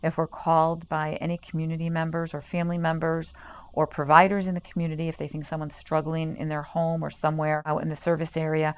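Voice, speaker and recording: A woman on staff at a health center, explaining its services in a measured way with frequent pauses, over soft music. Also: low-pitched, level tone, clear, resonant tone. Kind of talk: promotional interview.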